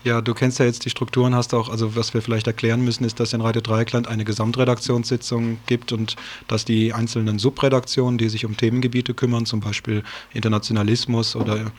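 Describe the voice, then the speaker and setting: A man's voice: mid-range voice, little pitch movement, quick pace, hardly any pauses, slight background noise. A man hosting a radio discussion, explaining things in unscripted talk.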